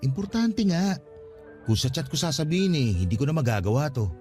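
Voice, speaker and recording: A man's voice: on the high side, very animated, brisk, darkish tone. An actor playing an earnest, anxious young father in a Tagalog radio drama, speaking conversationally with many questions. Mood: earnest, pleading. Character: earnest, anxious young father proposing to his estranged partner. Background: music bed.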